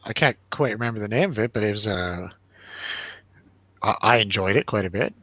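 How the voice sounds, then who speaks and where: A man's voice: on the low side, fairly quick, sharp tone, dry recording. A man hosting a casual film review chat, talking in a loose, hesitant, easygoing way over a slightly lo-fi recording. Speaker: host of a film review show.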